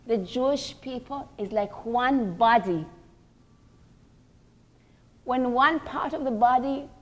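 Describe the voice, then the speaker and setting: A woman's voice: on the high side, slightly rough. A woman giving a religious lecture, teaching emphatically, repeating key phrases and posing rhetorical questions.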